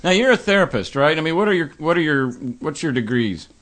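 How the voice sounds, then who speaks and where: A middle-aged man hosting a podcast, talking in an earnest, reflective way that turns halting when he speaks of personal matters. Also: on the high side, big pitch swings, fairly bright tone. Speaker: podcast host. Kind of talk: podcast intro and interview.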